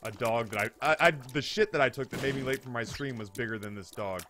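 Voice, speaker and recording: A man, telling a comedic story casually in an animated, hyperbolic, profane way as a streamer. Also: medium pitch, fast, background noise. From United States. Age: 30s. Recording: music behind the voice. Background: background music.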